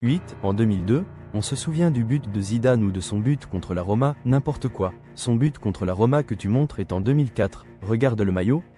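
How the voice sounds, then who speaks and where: A man's voice: on the low side, lively intonation, moderate pace, few pauses, mellow, soft background music. A middle-aged man reading a combative, sarcastic rebuttal monologue, lecturing a younger critic. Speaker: video commentator.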